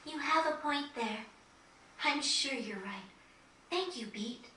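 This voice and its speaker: A woman playing a gentle, polite and earnest young teenage girl in a video game, speaking courteously. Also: high voice, lively intonation, slow pace, reverberant, distant mic, a little hiss or hum, full character voice. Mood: grateful, subdued. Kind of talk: video game cutscene acting.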